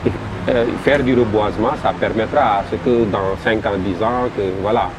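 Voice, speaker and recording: A man with a Senegalese French accent, a festival promoter describing his event in an interview, halting and repeating words as he goes. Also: fairly low pitch. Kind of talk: interview answers. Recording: noisy background.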